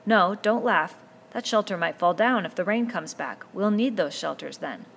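A woman's voice: mid-range voice, lively intonation, moderate pace, creaky, fairly bright tone, slight background noise. A woman reading a novel aloud, voicing an earnest, commanding boy chief who pleads and insists as he lays down rules. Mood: urgent.

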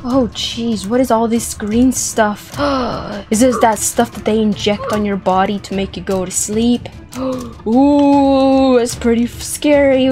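A young woman giving excited, playful gameplay commentary, animated and exclamatory, often repeating words for effect. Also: high voice. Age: young adult. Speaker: gaming video host. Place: small indoor room set up for streaming. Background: background music.

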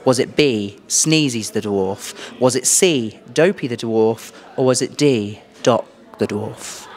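A young adult man with a British accent hosting a playful quiz interview in an animated, exclaiming way into a handheld microphone. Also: mid-range voice, takes it slowly. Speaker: host, quizmaster. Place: small furnished indoor room.

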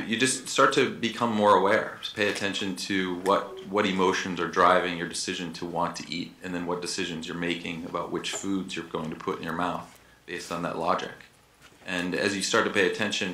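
A man on a panel explaining an idea in a measured, steady way. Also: low voice. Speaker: panelist, food founder. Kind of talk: panel discussion. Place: lecture room with audience. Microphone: table mic. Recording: echoey room, faint noise.

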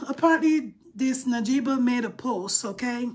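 A woman's voice: on the high side, expressive, unhurried, darkish tone, breathy edge. A middle-aged woman with a Barbadian accent, chatting playfully and sassily on a phone live stream from a room indoors. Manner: chatty and playful, sassy asides. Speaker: livestream host. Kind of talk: casual live-stream chat. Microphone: phone held close, selfie framing.

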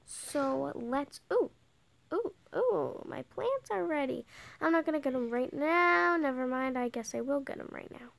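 A woman's voice: sits high, animated, a little slow, creaky, a bit bright. A young girl giving a casual tour in a game on a handheld device, chatting and often dropping to a whisper. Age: child. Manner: chatty, drifts into whispers while playing. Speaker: channel owner giving a game house tour. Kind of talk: casual gameplay commentary.

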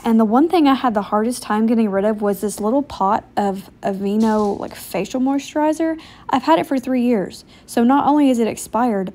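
A woman's voice: a little high, mellow. A woman in her thirties with an American accent, chatting casually and informally as a self-deprecating homemaking vlogger.

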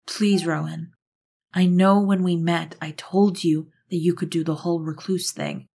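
Low, husky, earnest witch played by a woman. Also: lively intonation, a little slow, a little mellow, exaggerated voice. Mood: pleading. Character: earnest, persistent female witch, pleading go-between. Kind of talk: audio roleplay drama acting.

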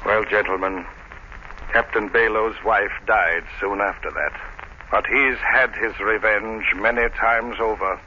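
A man's voice: lowish voice, steady tone, unhurried, sharp tone. An actor playing a grim, haunted seaman telling an ominous tale in dramatic 1930s radio style. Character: grim, haunted seaman recounting a ghost legend. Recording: dull, noisy 1930s radio recording.

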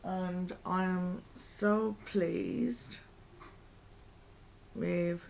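A young woman vlogging at home through her laptop webcam, talking slowly and quietly with a hoarse, croaky voice from a sore throat. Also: fairly low pitch, slight background noise. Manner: slow, rambling and quiet. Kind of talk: casual home vlog. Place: living room at home.

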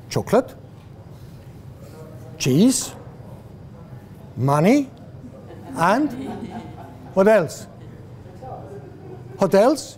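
A man's voice: sits high, darkish tone. A man in his sixties with a Swiss accent, giving a guest lecture to students in a classroom, gesturing and asking the audience questions, with many fillers and restarts. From Switzerland. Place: classroom with a screen, indoors. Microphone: far camera, no mic seen.

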